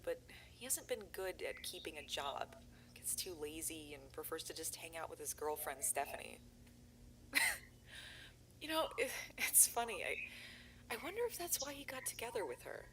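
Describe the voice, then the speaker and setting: A woman's voice: on the low side, animated, unhurried, pushed and bright, slight background noise. A woman playing a worried young videographer searching for her missing brother in an analog horror audio drama, talking in an earnest, rambling way.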